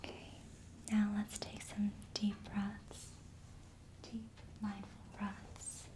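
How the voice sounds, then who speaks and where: A woman whispering softly and slowly as an ASMR host, guiding a relaxing session. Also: medium pitch, completely flat delivery. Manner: soft whispering, slow and soothing.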